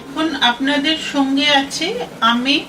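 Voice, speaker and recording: A woman's voice: high-pitched, fairly even intonation, measured pace, over music. A woman narrating a scripted introduction to an audio play as its presenter. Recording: faint noise, slightly distant mic.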